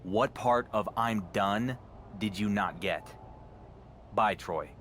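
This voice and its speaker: An actor playing a confident, cocky young wrestling champion in a video game cutscene. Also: male voice, on the low side, takes it slowly, fairly bright tone, a little hiss or hum. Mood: annoyed.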